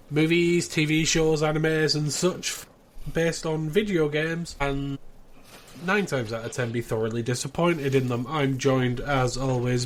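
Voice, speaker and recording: A man hosting a casual podcast, bantering in a dry, self-deprecating way. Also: on the high side. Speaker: podcast host.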